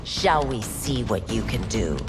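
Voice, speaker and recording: A woman's voice: very deep voice, expressive, measured pace. An actress playing a determined young female constable and fighter in a video game cutscene, formal and resolute. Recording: music underneath.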